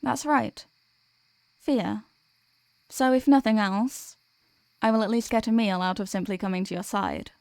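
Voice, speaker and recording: A woman playing a gentle, protective sleep paralysis demon in an ASMR comfort roleplay, speaking in a soothing, tender, reassuring way. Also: medium pitch, measured pace, long pauses, dry recording, lightly acted.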